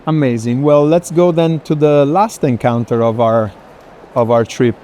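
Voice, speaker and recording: A man hosting an unscripted walking conversation, reflective and halting, often repeating and rephrasing his words. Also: medium pitch, warm tone. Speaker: moderator, host of the walking conversation. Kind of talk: unscripted interview on a tour. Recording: faint urban background noise.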